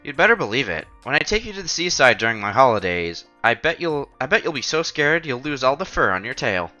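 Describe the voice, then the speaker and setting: A man streaming a visual novel, reading the story aloud with casual, playful, expressive commentary. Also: medium pitch, brisk. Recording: dull lo-fi sound, music behind.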